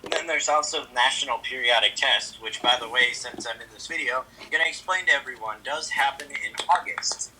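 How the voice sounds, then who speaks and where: A man's voice: medium pitch, a little fast, few pauses, noisy background. A man on a video call casually guiding someone through a setup, explaining options as he goes. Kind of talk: casual instructional chat. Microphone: video call heard through a device speaker.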